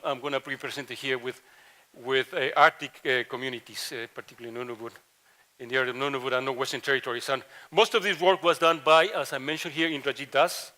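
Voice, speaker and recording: A man's voice: a little high, bright, forceful tone. A middle-aged man from Mexico and Ecuador with a Latin American Spanish accent, giving a conference panel talk with many fillers and restarts. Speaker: professor, panel speaker.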